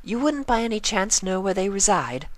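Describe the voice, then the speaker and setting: A woman's voice: medium pitch, very animated, normal speed, dry recording, voice pushed up, lightly acted. Lady playing a proper, anxious young unicorn girl, cartoon style, raised voice, hesitant. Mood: nervous, polite. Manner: genteel, hesitant. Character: polite, anxious, refined young unicorn mare.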